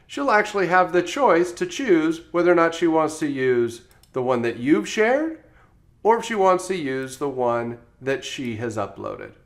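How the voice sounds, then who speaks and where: A man presenting software training in a step-by-step instructional tutorial style, as a voice-over. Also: on the high side. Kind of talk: scripted tutorial narration.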